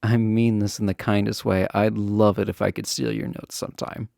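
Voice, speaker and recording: Man playing a slow, mellow, flirty college guy. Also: on the low side, creaky, no echo, studio quality, heavily acted.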